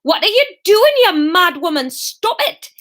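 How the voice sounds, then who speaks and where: A woman's voice: sits high, animated, moderate pace, a bit bright, voice pushed up. A woman voicing a smarmy, theatrical man with a Newcastle accent in an audiobook. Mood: shrieking, panicked. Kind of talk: audiobook character dialogue.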